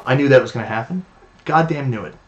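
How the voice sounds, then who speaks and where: A man from Newfoundland streaming a retro game, chatting casually and getting frustrated and shouting at it. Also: mid-range voice, fast talker, muffled recording, slight background noise. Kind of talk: casual live-stream chat.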